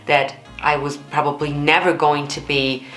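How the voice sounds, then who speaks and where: A woman with a low alto voice, giving blunt, candid and expressive reaction commentary as a vocal coach. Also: unhurried, reverberant, faint music behind, poor audio. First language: German.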